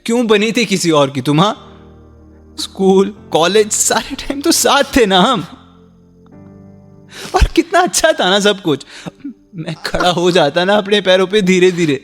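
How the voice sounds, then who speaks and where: A withdrawn young husband in a radio play, hurt and guarded. His delivery is halting and emotionally strained. Long hall reverb surrounds him, with faint music behind. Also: sits high, animated. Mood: hurt, resentful. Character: wounded, withdrawn young husband hiding resentment behind duty. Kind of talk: Hindi radio drama acting.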